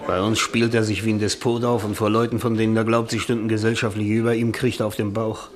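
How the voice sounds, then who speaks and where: An actor in a German radio drama playing a boozy, stubborn, faded writer, rambling and grumbling in a theatrical, tipsy way. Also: male voice, fairly low pitch, flat delivery, fast talker, lightly acted. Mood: confiding, dismissive. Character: boozy, stubborn, faded older writer, self-pitying and belligerent.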